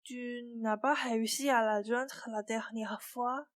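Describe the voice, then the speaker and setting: Slow-spoken, gentle young fairy-tale heroine played by a woman. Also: fairly high pitch, fairly even intonation. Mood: gentle, curious. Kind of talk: student radio play acting.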